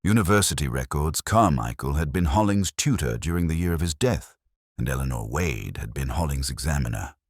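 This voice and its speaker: A man narrating a first-person detective story in a measured, brooding, literary manner. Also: extremely low pitch, lively intonation, no room sound, clean studio sound. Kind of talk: scripted first-person audio drama narration.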